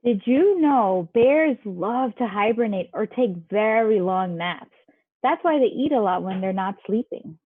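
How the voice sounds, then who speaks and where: A woman's voice: medium pitch, animated, a little slow, slightly rough, no echo. A woman leading a children's activity, giving simple instructions and encouragement to young kids. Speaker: children's game leader. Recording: dull, lo-fi, dry audio.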